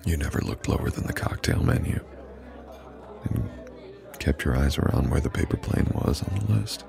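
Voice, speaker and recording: Very deep, gravelly immortal boyfriend acted by a man. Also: huge pitch swings, a crowd in the background, full character voice. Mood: warm, teasing.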